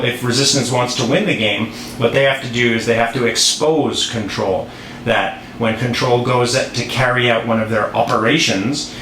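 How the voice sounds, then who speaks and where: Male presenter, mid-pitched, informal and unscripted how-to delivery with distracted asides, recorded in an echoey room. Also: fairly bright tone. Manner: rambling, easily distracted, self-interrupting asides. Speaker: board game designer presenting a tutorial. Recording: roomy echo, clear background noise.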